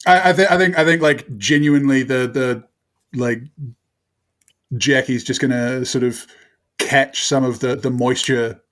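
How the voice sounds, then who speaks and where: A man plays a tabletop game in casual talk. His pitch is average for a man. He is wry and halting, often picking his words as he goes. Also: fairly bright tone.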